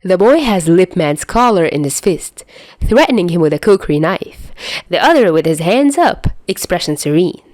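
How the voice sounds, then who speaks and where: A woman narrating fan fiction in an expressive storytelling style. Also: lowish voice, normal speed, dry recording. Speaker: podfic narrator.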